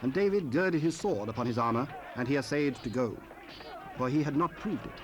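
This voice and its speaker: A man narrating scripture in a formal, solemn and measured way. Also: mid-range voice, lively intonation. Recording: faintly noisy cassette rip.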